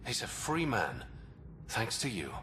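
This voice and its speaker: An actor playing a secretive man who brokers information in a port, confiding and smooth. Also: lowish voice, wildly swooping pitch, unhurried, sharp tone, a little hiss or hum, full character voice. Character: shadowy male underworld information broker.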